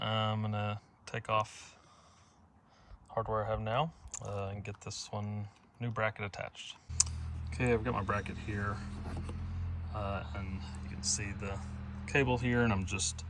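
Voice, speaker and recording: A man casually talking through a hands-on install, halting and thinking out loud as he works. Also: fairly low pitch, gravelly. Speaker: DIY vehicle install presenter. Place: in and around a parked pickup truck. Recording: noisy vehicle sound with clicks.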